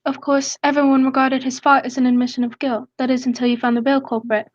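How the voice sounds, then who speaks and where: Girl acting pleading young fiancee in a radio play, talks fast, bit muffled. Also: high voice, level tone, close to an everyday voice. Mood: earnest, pleading. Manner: earnest, pleading.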